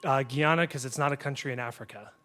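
A man answering quiz questions hesitantly, halting with many fillers. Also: medium pitch, pushed and bright, slight background noise. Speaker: quiz contestant.

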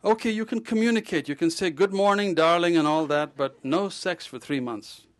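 A man voicing a blunt, jovial male surgeon who tells stories in a lively, teasing and theatrical way. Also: a little high, moderate pace, pitched above the performer's own voice, lightly acted.

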